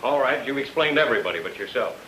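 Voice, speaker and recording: A man playing a gruff, suspicious police detective, curt and accusing, in an old-time radio noir. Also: medium pitch, expressive, quick pace, sharp tone, low-quality recording. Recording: hissy old radio recording.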